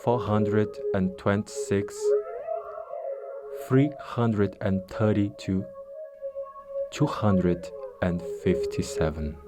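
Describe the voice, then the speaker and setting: A man speaks in a slow, deliberate voice-over with a low voice. Clear music with an eerie tone plays behind him. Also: fairly even intonation.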